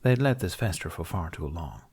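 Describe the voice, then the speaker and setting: A man's voice: low-pitched, sing-song, fairly quick, darkish tone, slightly rough. A man reading a scripted story as an audiobook narrator, in a dramatic narrative style.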